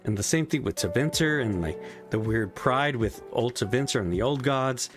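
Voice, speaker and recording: A young man of middle pitch rants through a casual game review, full of frustration and swearing. His talk is loose and thick with filler words. Faint music plays quietly behind his voice. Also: lively intonation, moderate pace. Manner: frustrated, profane rant with many "like" fillers. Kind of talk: casual spoken video review.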